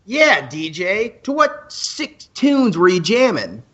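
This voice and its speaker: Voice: medium pitch, very animated, slow pace, fairly bright tone, dull audio, full character voice. An actor playing a wisecracking, teasing teenage student radio co-host in an amateur radio play, with playful mock-formality.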